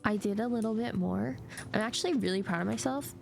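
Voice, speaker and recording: A woman's voice: mid-range voice, fast talker, creaky, a little mellow. A woman chatting casually on a game livestream, muttering to herself with playful exclamations. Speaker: game streamer. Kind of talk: casual livestream gameplay chat. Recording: music behind. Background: game music.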